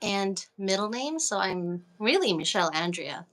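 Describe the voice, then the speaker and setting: A woman who was born in the Philippines and grew up in Los Angeles, an indie music artist speaking from a recording studio as an interview guest, chatty and quick to laugh. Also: mid-range voice, very animated, crowd noise. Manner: bubbly, laughs often, chatty. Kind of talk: casual interview over a call.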